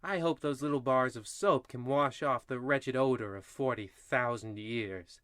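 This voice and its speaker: An actor playing a wry horror-anthology radio host, narrating theatrically and tongue-in-cheek in old-time parody style. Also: male voice, mid-range voice, unhurried, a bit bright, no echo, lightly acted. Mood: wry, ominous.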